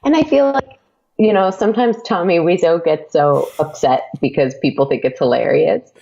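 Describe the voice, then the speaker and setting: Gravelly young woman, giggly chat. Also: lowish voice, normal speed, mellow. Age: young adult. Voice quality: laughs often, trails off with fillers. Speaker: guest, audio drama podcast creator and actor. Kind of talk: casual interview chat. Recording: dull lo-fi sound.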